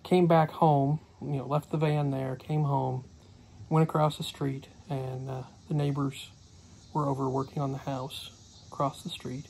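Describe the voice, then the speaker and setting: A middle-aged man recaps his day in a slow, unhurried ramble full of "uh" and "um." His voice is gravelly and stays flat, with little rise or fall. A faint hiss sits under the phone recording. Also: mid-range voice, poor audio. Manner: rambling, many fillers. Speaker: homestead vlogger. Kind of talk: casual daily vlog recap. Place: small cluttered room indoors. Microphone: phone camera close to face.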